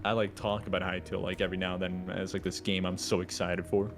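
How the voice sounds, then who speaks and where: Low-voiced young man rambling casually. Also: little pitch movement, fast, music in the background. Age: 20s. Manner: rambling, heavy use of filler words. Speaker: podcast guest. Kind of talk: casual chat.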